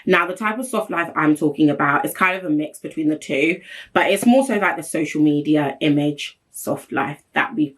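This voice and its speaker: Blunt deep-voiced woman host. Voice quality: strong deep voice. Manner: blunt, emphatic, straight-talking. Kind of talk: solo opinion vlog talk.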